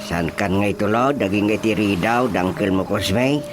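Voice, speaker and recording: A man's voice: fairly low pitch, moderate pace, close to an everyday voice. A man with an Ilocano Filipino accent playing a flustered, hesitant village chairman in a radio drama, full of exclamations. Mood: nervous. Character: flustered, easily startled male village chairman. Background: music.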